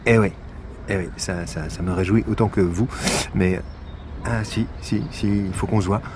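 Low-voiced, weary police investigator played by a man. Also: fairly even intonation, background noise, lightly acted. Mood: awkward, polite. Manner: hesitant, halting, understated. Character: weary, dry-humored male police investigator. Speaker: actor in a fiction podcast. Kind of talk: naturalistic audio drama dialogue.

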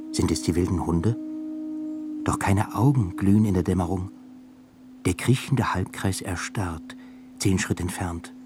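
Male narrator, low voice, solemn measured reading for a radio play.

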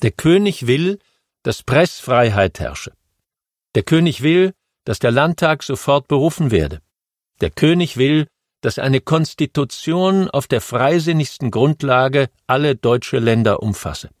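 A man's voice: medium pitch, lively intonation, measured pace, no room sound, clean studio sound, close to an everyday voice. A man voicing a male state minister who reads out a royal decree in a raised, solemn, emphatic voice. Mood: proclaiming.

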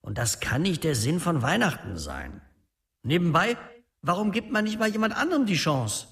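A man's voice: a little high, very animated, moderate pace, vocal fry, a bit bright, heavily acted. An actor playing an aggrieved small-town man who grumbles and complains, in a radio drama.

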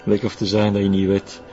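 An actor playing a cagey, flirtatious informant in a TV drama, with a West Flemish accent. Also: male voice, lowish voice, close to an everyday voice. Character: cagey, flirtatious male informant, sly and evasive. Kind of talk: TV drama acting. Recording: muffled, with music behind. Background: music.

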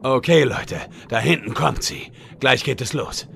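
Man as a creaky, sharp know-it-all leader. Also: mid-range voice, a little slow, a little hiss or hum. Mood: commanding. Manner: earnest, pedantic. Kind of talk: comedic fantasy audio drama acting.

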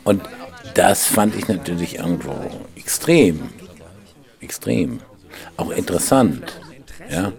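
A man's voice: low voice, expressive, takes it slowly, creaky, long pauses, darkish tone, heavily acted. A man playing a detached intellectual in a naturalistic conversational radio drama, musing and often checking for agreement. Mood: detached, fascinated. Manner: musing, seeks agreement with question tags.

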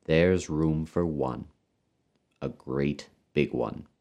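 A man voicing a despairing, sullen new father with a flat, taut, bitterly sarcastic delivery, in a story reading. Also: extremely low pitch, very slow, exaggerated voice. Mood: grim, quiet.

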